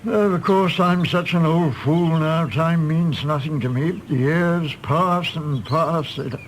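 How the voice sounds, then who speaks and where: Radio play: grumbling old man, slightly high voice, unhurried, self-deprecating, some background noise. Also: fairly even intonation, low-quality recording. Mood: weary, self-pitying. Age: older. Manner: grumbling, rambling, repeating himself.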